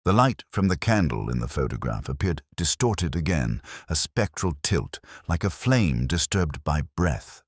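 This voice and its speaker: A man reading a scripted first-person detective story in a measured, literary, brooding way. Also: rumbling low, sing-song, breathy edge, no echo, clean studio sound. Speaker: narrator.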